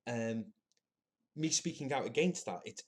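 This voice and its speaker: A man, possibly, hosting a solo podcast and thinking out loud in a halting, earnest way, with frequent restarts and filler words. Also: mid-range voice, lively intonation. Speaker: podcast host. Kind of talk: solo opinion monologue.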